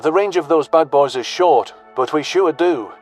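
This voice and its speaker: Male narrator as gruff sci-fi soldier, commanding, slangy, loyal, fairly high voice. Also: normal speed, soft background music, close to an everyday voice. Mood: confident. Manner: blunt military slang. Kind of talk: audio story character dialogue.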